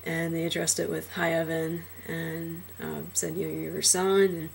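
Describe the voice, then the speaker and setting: A young transgender man in a casual personal vlog, talking hesitantly with many fillers and restarts, his trained low voice deepened further by a cold. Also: monotone, normal speed, vocal fry, slight background noise. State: voice lowered by illness.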